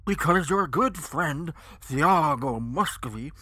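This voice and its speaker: A narrator voicing a pompous, chinless man with a husky, rough voice and a patronizing, drawn-out, emphatic manner. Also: medium pitch, lively intonation, sharp tone, voice pushed up, exaggerated voice.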